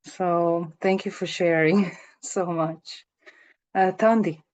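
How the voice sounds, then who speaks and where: A woman's voice: fairly low pitch, fairly even intonation, slow pace, a little mellow. A woman from Croatia moderating a panel discussion, thanking the speakers and passing the floor.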